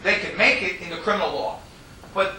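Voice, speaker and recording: A man's voice: high-pitched, a little fast, sharp tone. An American man giving an informal classroom lecture, talking in an emphatic, conversational way. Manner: emphatic, conversational, acts out quoted dialogue. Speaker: law lecturer teaching a class. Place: classroom, recorded from a distance. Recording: echoey room, distant mic, faint noise.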